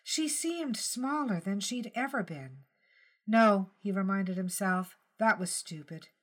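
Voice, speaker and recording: A woman narrates a short story from a script. Her voice sits at an ordinary mid pitch for a woman, in the style of an audiobook reader. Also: expressive, slow.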